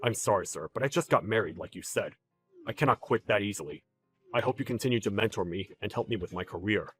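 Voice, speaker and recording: A calm, deferential male employee, acted by a man in a story drama. He speaks formally and with restraint, quietly firm. The voice is clear-edged and bright, with faint music behind it. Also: medium pitch, brisk, voice pushed down. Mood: apologetic.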